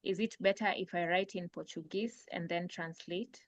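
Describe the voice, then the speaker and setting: A woman's voice: fairly low pitch, fairly even intonation, normal speed, no room sound. A woman moderating a webinar over a video call, reading attendees' questions aloud in a plain, careful way. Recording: dull, dry call audio.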